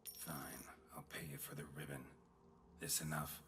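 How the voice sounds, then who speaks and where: A man playing a gruff, laconic monster hunter in a fantasy video game, mumbling in a dry deadpan monotone. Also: a bass voice, unhurried, noisy background. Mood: terse, calm. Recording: music behind the voice.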